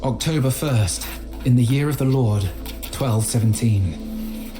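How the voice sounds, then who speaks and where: Male actor as earnest scholar-chronicler for a game: mid-range voice, formal and measured, music underneath. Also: mid-range voice, natural voice. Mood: solemn, reflective. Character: earnest, learned, timid medieval scholar and chronicler. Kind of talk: dramatic journal narration in a video game.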